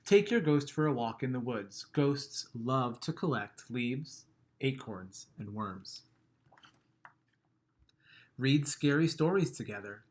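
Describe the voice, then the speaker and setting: A man reading a children's picture book aloud, gentle and expressive, letting line endings trail off softly and chuckling at the funny bits. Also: medium pitch, slow pace, long pauses.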